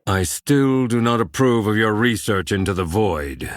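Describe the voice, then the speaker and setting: Stern, animated elven magister. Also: male voice, on the low side, measured pace, no echo, clean studio sound. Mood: grudging formality. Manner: formal, grudging. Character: stern, fussy, proud elven male magister. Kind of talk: fantasy game voice acting.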